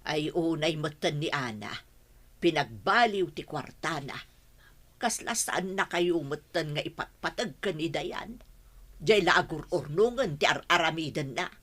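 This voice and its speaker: Low-voiced disapproving grandmother. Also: lively intonation, measured pace, a bit bright, exaggerated voice. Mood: blunt disapproval. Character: older mother and grandmother, disapproving, blunt. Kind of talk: radio drama acting. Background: music.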